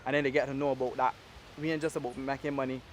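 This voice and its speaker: Fast-talking Barbadian man. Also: medium pitch, a little hiss or hum. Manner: animated storytelling in Caribbean dialect. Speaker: award-winning activist guest. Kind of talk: casual interview talk.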